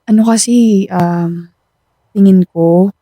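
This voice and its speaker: A woman's voice: medium pitch, steady tone, slow, warm tone, no echo, natural voice. An actress playing a shy, self-doubting young woman, hesitant, in a Filipino radio drama. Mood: doubtful, worried. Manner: hesitant, insecure.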